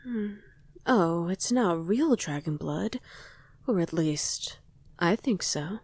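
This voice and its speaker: A woman performs a doting vampire wife in an intimate ASMR roleplay. Her voice is dark, soft and breathy, often slipping into a whisper. She is affectionate and gently teasing, heard very close and dry. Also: fairly low pitch, lively intonation, slow pace, no echo, full character voice. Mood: playful, seductive.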